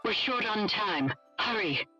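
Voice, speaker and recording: A woman's voice: medium pitch, expressive, unhurried, sharp tone, slightly rough, exaggerated voice. An actress playing an earnest, urgent scientist mother who heads a laboratory in a dramatic video game. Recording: dull lo-fi sound, music underneath.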